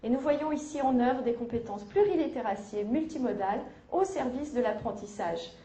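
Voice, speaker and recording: A woman giving an academic conference lecture, measured, with hesitations and self-corrections. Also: on the high side, expressive, mellow. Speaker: university lecturer. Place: lecture hall. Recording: echoey lecture hall.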